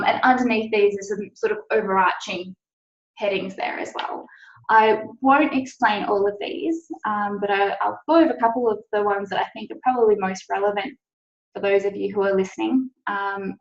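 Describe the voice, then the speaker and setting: An Australian woman works through her slides in an explanatory, easygoing way, with plenty of "um"s along the way. Her voice sits at an ordinary pitch. The audio is a little dull and has a roomy echo. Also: fairly even intonation, normal speed. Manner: explanatory, frequent "um" fillers. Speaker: policy officer presenting a webinar. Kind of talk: webinar presentation.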